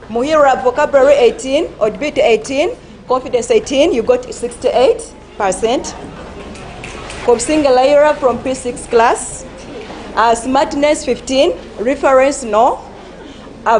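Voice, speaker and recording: A high-pitched woman judge addresses a school debate, reading scores and giving advice to the pupils. She talks slowly and haltingly, stumbling over repeated words and fillers. There is faint background noise. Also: darkish tone. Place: school assembly.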